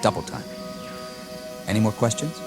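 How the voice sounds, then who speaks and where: An actor playing an arrogant, inexperienced army lieutenant in a radio drama, with a clipped, formal, commanding manner. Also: male voice, on the low side, big pitch swings, normal speed. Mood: stern. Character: arrogant, rigid, inexperienced young army lieutenant, eager for combat. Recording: dramatic music under the dialogue.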